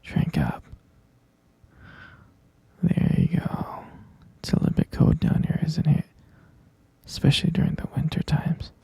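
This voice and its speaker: A man playing an obsessive, possessive kidnapper in an ASMR boyfriend roleplay, cooing slow, breathy, coaxing endearments to a captive lover. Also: on the high side, wildly swooping pitch, mellow, dry recording, full character voice. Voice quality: breathy, fry-laden murmur. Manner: slow, coaxing, saccharine pet names. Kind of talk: ASMR boyfriend roleplay, intimate close whispering.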